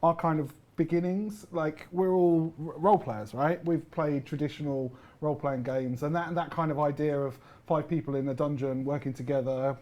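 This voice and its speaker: A man's voice: a little high, steady tone, moderate pace, few pauses, a little mellow. A man chatting casually as a game developer, explaining his thoughts on work. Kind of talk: casual chat, reflective explanation. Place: live stream room in an office.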